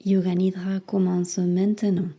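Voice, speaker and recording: A woman leads a guided relaxation as a yoga teacher. Her delivery is slow and nearly flat in melody, with soothing, measured instructions and long pauses. The recording sounds a little dull and muffled. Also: fairly low pitch. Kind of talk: guided meditation.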